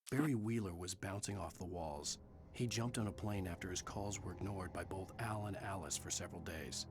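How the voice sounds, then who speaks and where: Actor as a deep-voiced brooding thriller narrator over music. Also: male voice, brisk, lightly acted. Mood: grave, ominous. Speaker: game narrator. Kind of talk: scripted story narration. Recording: game audio with music. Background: game music.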